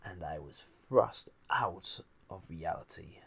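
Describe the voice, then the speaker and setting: A man playing an ancient banished villain, a magical being, in an intimate audio roleplay, musing theatrically with a gravelly, husky, breathy voice. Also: deep voice, lively intonation, slow, heavily acted. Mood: grave, menacing. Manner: musing, theatrical, drifting into breathy near-whispers. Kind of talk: scripted audio roleplay, intimate monologue to listener.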